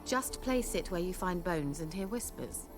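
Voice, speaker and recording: An actress playing a vain, sharp-tongued sorceress in a video game, speaking in a haughty, wry, coaxing manner. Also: female voice, fairly low pitch, lively intonation, normal speed, lightly acted. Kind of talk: video game cutscene acting. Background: game soundtrack music.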